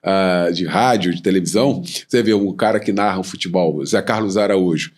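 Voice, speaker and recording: A man's voice: deep voice, brisk. A man with a Brazilian Portuguese accent hosting a podcast, asking questions and chatting casually with his guest. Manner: conversational, affirming, asks questions. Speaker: podcast host. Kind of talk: casual interview chat.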